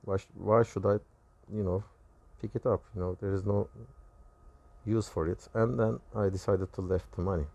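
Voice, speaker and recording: A man with a Turkish accent and Turkish as his first language gives a personal testimony, recounting visions in halting, self-correcting phrases. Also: on the low side, steady tone, vocal fry, dark tone. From Turkey. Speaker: religious video creator.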